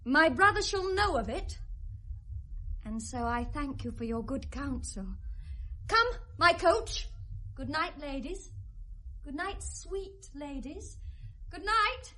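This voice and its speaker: A young noblewoman driven mad by grief in classic radio Shakespeare. Her voice is extremely high and she takes her time, drifting distractedly between verse and sung fragments. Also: lively intonation, takes it slowly, pauses often, bright, forceful tone, exaggerated voice. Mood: tearful, wandering. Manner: wandering between speech and snatches of song. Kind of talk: 1970s radio Shakespeare acting, half sung.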